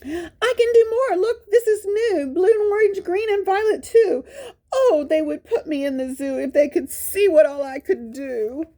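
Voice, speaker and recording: A woman's voice: very high-pitched, measured pace, mellow, pitched above the performer's own voice. A woman voicing an eager, pleading spotted leopard from a children's storybook, emphatic and repetitive. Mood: eager, boastful. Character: eager, pleading young male spotted leopard wanting acceptance. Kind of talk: children's storybook character reading.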